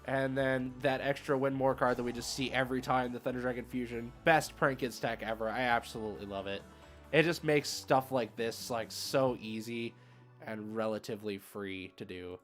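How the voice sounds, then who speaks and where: Male game streamer, average pitch, casual rambling play-by-play, slangy, music underneath. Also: fairly even intonation, moderate pace, fairly bright tone. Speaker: streamer playing an online card game. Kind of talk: casual live game commentary, thinking aloud. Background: music playing behind the voice.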